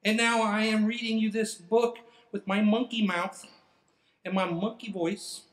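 A man's voice: high-pitched, level tone, unhurried, pitched above the performer's own voice. A man voicing a silly, boastful robot monkey from a picture book, gleeful, exclamatory and nonsensical. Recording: roomy, echoing sound.

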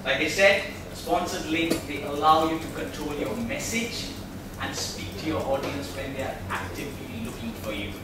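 Ein Mann hält am Rednerpult einen erklärenden Vortrag vor Publikum und stellt zwischendurch Fragen an die Zuhörer. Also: male voice, on the high side, expressive, moderate pace. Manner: explanatory, asks the audience questions. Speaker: presenter at a tech meetup. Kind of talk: presentation talk. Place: large meeting room, speaking at a podium. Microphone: far camera mic. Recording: echoey room recorded from far off, noisy.

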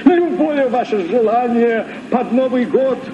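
An actor playing a courteous, grandiose Arabian Nights sailor with a florid, ceremonious manner in an old radio play. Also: male voice, very high-pitched, unhurried. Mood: grandly courteous. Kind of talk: vintage Soviet radio play acting. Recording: muffled, noisy old radio recording.

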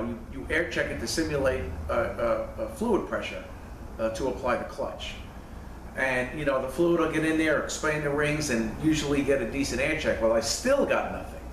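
Plainspoken mechanic in an echoey shop. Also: male voice, mid-range voice. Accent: New York. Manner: plainspoken, rambling with fillers, hands busy working. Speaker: transmission mechanic. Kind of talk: casual shop tutorial. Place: cluttered indoor repair shop. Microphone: camera mic, a few feet away. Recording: echoey shop, distant mic, faint hum.

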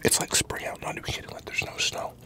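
A man in his thirties, an ASMR creator, whispering a loose, profane eating chat into a desk mic inside a parked pickup truck. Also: quick pace. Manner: whispering, loose and profane, chewing between remarks. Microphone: large desktop mic in the cab, GoPro camera.

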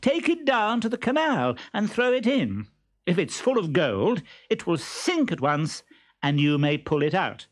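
A man reading a storybook aloud, voicing a bold, teasing young woman in a high, lilting voice. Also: very animated, a little slow.

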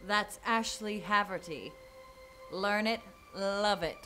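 A woman's voice: medium pitch, slow pace, pushed and bright, a little hiss or hum. An actress playing a sly, sassy young woman who was an inside spy in a campy comedy. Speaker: actor.

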